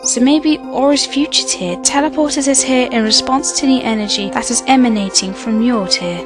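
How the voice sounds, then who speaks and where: A woman's voice: fairly high pitch, moderate pace, over music, lightly acted. A woman playing a level-headed, earnest young female adventurer in an amateur radio play. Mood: curious, thoughtful.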